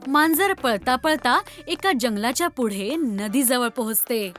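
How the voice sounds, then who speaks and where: A woman narrates a scripted children's story. Her voice is high in pitch. Faint music plays behind the narration. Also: lively intonation, unhurried, a bit bright.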